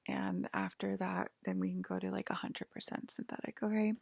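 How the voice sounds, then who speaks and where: A woman doing a soft-spoken, whispery ASMR role-play as a calm alien guide, talking haltingly and matter-of-factly close to the mic. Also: medium pitch, dry recording. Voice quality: breathy, whispery. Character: calm alien abductor guiding a patient aboard a UFO.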